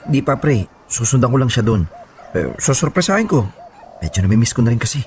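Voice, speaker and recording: A man's voice: mid-range voice, sing-song, a little fast, darkish tone, lightly acted. Actor as young man in a radio drama, music behind. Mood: casual. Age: young adult. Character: Troy, smitten, evasive young man in his twenties. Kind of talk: radio drama acting in Tagalog.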